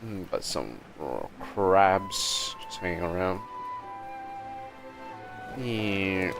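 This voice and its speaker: This is a man with a slow, creaky delivery, talking casually through a game he is livestreaming. He mumbles his thoughts aloud as he goes. Game music plays clearly behind his voice. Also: low-pitched, lively intonation. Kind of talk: casual gameplay commentary. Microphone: streaming microphone that picks up movement.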